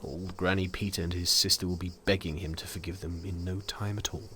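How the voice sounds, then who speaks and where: Audio drama role: detached country doctor, fifties, understated delivery, faint outdoor noise. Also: male voice, sits low, flat delivery, brisk, pushed and bright. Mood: wry. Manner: understated, wry. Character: weary, detached male doctor of fifty-five.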